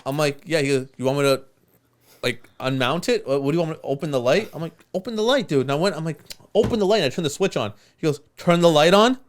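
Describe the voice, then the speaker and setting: A man whose first language is Urdu, co-hosting a podcast with animated, teasing banter and a lot of swearing. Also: fairly high pitch, quick pace. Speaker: podcast co-host and editor. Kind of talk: casual banter.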